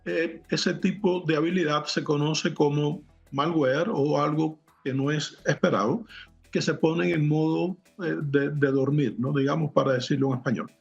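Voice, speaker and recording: A man with a fairly high, gravelly voice explains technical matters as an interview guest. He is measured and precise. The sound is muffled and lo-fi, with music underneath. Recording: muffled lo-fi audio, music behind.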